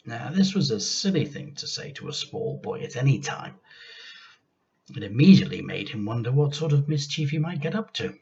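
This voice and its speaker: A man reading a children's storybook aloud like a teacher, with expressive, deliberate and emphatic delivery. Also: mid-range voice, highs cut off.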